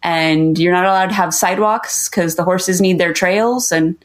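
A woman from Southern California, a guest on a podcast, telling about her hometown in a chatty, lively way. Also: on the low side, moderate pace. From Southern California, USA. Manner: chatty and lively, laughs often. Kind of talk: casual podcast conversation.

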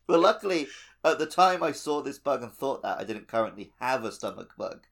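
A man's voice: medium pitch, big pitch swings, fairly quick, a bit bright. A man in casual gaming podcast chat, informal and musing, as if telling anecdotes between friends. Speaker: podcast co-host; teacher.

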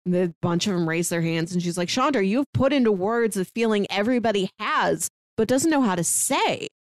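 A woman's voice: mid-range voice, animated, brisk, no echo, clean studio sound. A woman co-hosting a podcast, casually discussing films and acting out lines as she retells. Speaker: podcast co-host.

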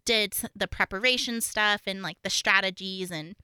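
Casting: young female podcast host, American with a slight Southern twang, high-pitched, very bright, giggly and informal, close dry sound. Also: normal speed, smooth, dry recording. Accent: American, occasional Oklahoma Southern twang. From Oklahoma, US. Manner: giggly, animated, informal with frequent "like". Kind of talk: casual true-crime podcast chat.

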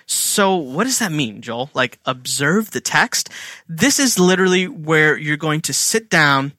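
Bright, conversational man teaching scripture. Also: fairly high pitch, lively intonation, unhurried, no room sound, studio quality. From India. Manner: conversational, filler-heavy, asks and answers his own questions. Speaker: Bible teacher. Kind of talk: casual teaching talk.

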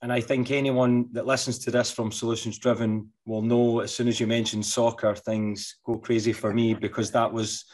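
A man's voice: medium pitch, flat delivery, moderate pace, few pauses, a little mellow. A middle-aged man hosts an interview in a casual, eager way, with a mid-range voice. He stumbles over words and repeats them as he frames his questions. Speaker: podcast host.

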